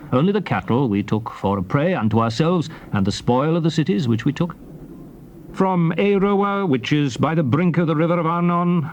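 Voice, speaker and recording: An actor playing a solemn old prophet recounting conquests to his people, in declamatory, measured theatrical scripture style. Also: medium pitch, a little mellow, lightly acted. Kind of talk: dramatized scripture reading, theatrical oratory. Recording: faint noise from old cassette tape.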